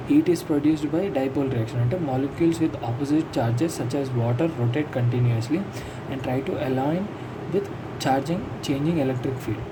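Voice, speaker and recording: A man with a Telugu accent giving an informal lesson as a teacher, explaining notes step by step and repeating points as if to one student. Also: medium pitch. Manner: explanatory, repetitive, addressing a student directly. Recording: clear steady background noise.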